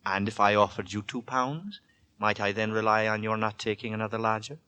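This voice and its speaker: An actor playing a weary, secretive, quietly menacing gentleman lodger in a formal, halting, repetitive manner, in 1940s radio horror style. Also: on the low side, fairly even intonation, clear, resonant tone. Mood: intense, distracted. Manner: formal, halting, repeats his words. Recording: vintage 1940s radio broadcast recording.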